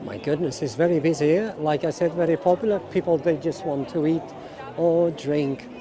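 A man's voice: on the high side, fairly even intonation, moderate pace. A middle-aged man giving casual walking tour commentary in an enthusiastic way, often exclaiming and repeating his phrases. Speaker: vlogger and walking tour guide. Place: busy outdoor street market. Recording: outdoor crowd noise, background music. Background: music and crowd chatter.

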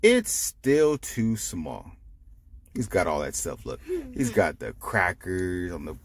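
A man reading a picture book aloud to children, explaining it simply with animated asides. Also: low voice, slow pace, husky, no room sound. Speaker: storytime reader.

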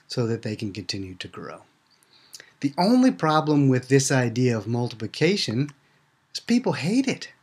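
A man narrating a slide presentation in a measured, conversational, explanatory teaching manner. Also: medium pitch. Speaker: ministry presenter and seminary student.

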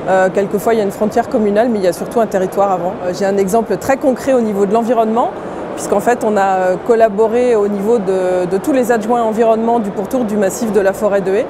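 A middle-aged woman speaks as a mayor in an interview. Her voice sits at a medium pitch for a woman. There is clear urban ambient noise behind her. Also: fairly even intonation, fast. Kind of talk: prepared interview answer to camera.

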